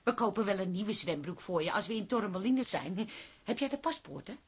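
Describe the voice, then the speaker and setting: An actress playing a brisk, bossy café owner's wife in a radio comedy drama, with a no-nonsense way of talking. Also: female voice, mid-range voice, lively intonation, slightly rough. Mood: brisk, teasing. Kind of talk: naturalistic Dutch radio comedy-drama acting.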